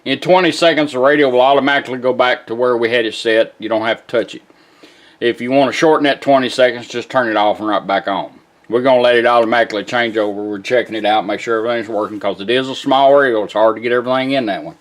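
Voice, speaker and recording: A man's voice: medium pitch, quick pace. A man giving a casual, hands-on product demonstration in plain, folksy, informal words. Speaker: product demonstrator, radio restorer. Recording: music playing from a radio behind.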